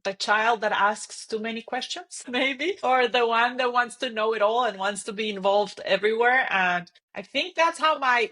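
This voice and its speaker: Woman from Costa Rica, bright and clear, thoughtful storyteller. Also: mid-range voice. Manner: thoughtful, open storytelling with frequent "right?" checks. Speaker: guest, company CEO. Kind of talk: interview conversation.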